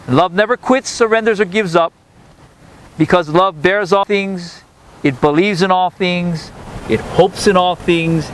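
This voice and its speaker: A male officiant leads a beach wedding ceremony in a slow, solemn cadence. His first language is Hawaiian, and his voice sits a little high for a man. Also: slight background noise, rough recording. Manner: measured, solemn delivery. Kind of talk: wedding ceremony address and prayer.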